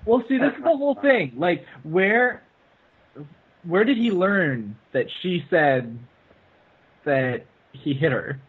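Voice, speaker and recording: A man's voice: high-pitched, animated, slow pace, slightly rough. A man chatting casually as co-host while watching a film, wry and questioning. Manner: wry, questioning, quotes the film in mock voices. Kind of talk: casual group commentary over a call. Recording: tinny call audio.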